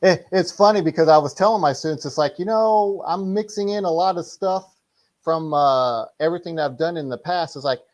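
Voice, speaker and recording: A middle-aged man speaking casually as an interview guest and martial arts instructor, rambling and halting as he explains things. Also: a little high.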